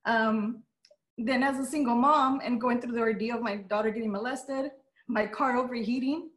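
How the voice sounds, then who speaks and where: A woman whose first language is Spanish, telling her personal story as a guest in an interview, candid and emotional, with many pauses and ums. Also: fairly high pitch, steady tone, fairly bright tone. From Las Vegas, USA. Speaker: podcast guest. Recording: roomy echo.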